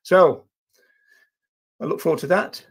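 An older man hosting a casual livestream, talking to viewers in a hesitant, halting way with drawn-out pauses. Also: a little high, husky. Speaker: livestream host. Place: small indoor room at a desk.

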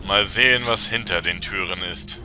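A man's voice: low voice, normal speed, bright, forceful tone, slight background noise. A man playing a commanding, combative ship captain in a fantasy audio drama.

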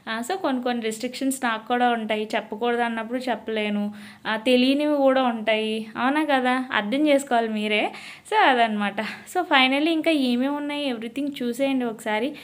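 A woman's voice: a little high, normal speed. A woman with a Telugu accent, chatting casually to viewers as a shopping vlogger, mixing in English words. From India. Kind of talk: casual shopping vlog voice-over.

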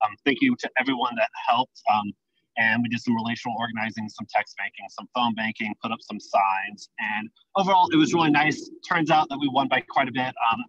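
Young man, husky voice, quick upbeat report, muffled dry car audio. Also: mid-range voice, steady tone, a bit bright, dry recording. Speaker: campaign organizer reporting back. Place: inside a parked car. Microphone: headset, phone video call.

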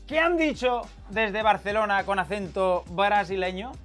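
A man's voice: high-pitched, a little slow, a bit bright, soft background music. A man in his thirties hosting a casual sports talk show in a TV studio. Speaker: studio host.

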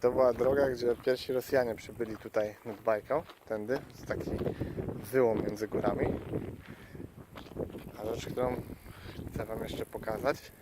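A man with a medium-pitched voice talks casually as a travel vlogger, showing things as he goes. He speaks informally and haltingly, looking for words. Very loud wind and water noise surrounds him outdoors. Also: steady tone. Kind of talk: casual vlog commentary while showing sights. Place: outdoors by a lake and stream.

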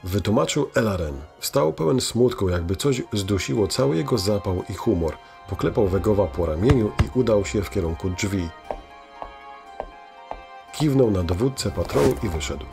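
A man with a fairly low voice narrates a scripted fantasy tale. Faint music runs behind his storytelling. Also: lively intonation, normal speed, gravelly.

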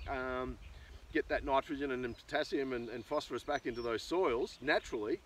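Casting: middle-aged Australian male farmer, mid-pitched, rambling and informal, recorded at some distance outdoors with light natural noise. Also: normal speed. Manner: rambling, thinking aloud. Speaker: farmer and video host. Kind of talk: casual unscripted vlog talk. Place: outdoors in an open rural pasture.

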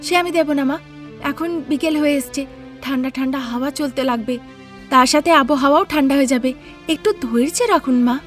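Woman doing stubborn young daughter-in-law, high voice, pleading but firm, cartoon story with music behind. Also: fairly quick, natural voice. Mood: firmly refusing. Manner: pleading yet firm, argumentative. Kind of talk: Bengali cartoon story acting.

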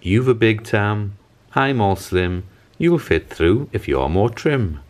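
Fearless tiny storybook girl, cheeky and sing-song, lifted pitch male reader acting. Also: very animated, slow pace. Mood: teasing. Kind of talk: storybook character reading, sing-song rhymes.

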